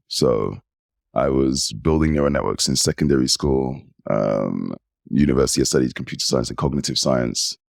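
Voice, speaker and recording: A man in his forties with an English accent, telling stories as an animated guest in a casual interview. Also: a bass voice, gravelly, no room sound, clean studio sound. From United Kingdom. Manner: animated storyteller. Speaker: podcast guest.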